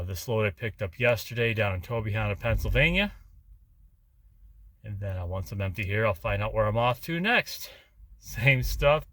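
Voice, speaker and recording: A man's voice: fairly low pitch, fairly bright tone, no echo. A man talking in a casual, rambling, plain-spoken way, like a truck driver vlogging from his cab. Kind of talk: casual rambling vlog chat.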